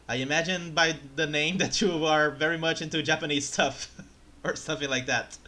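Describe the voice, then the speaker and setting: Excitable male streamer. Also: a little high, moderate pace. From Brazil. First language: Portuguese. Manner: excitable, flustered, chatty. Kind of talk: live game commentary and chat.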